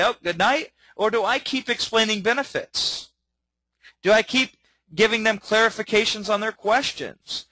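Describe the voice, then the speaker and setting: A man teaching a webinar in an energetic way, often checking in with okay and all right. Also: high-pitched, dry recording, low-quality recording. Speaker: webinar trainer.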